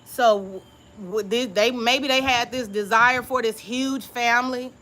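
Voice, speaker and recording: A woman hosts a casual live-stream chat on her own channel. Her voice sits a little high and sounds bright and forward. She speaks informally and reflectively, often pausing to check in with her listeners. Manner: reflective, confiding, keeps checking in with "okay?"; relaxed informal speech. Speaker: YouTube creator.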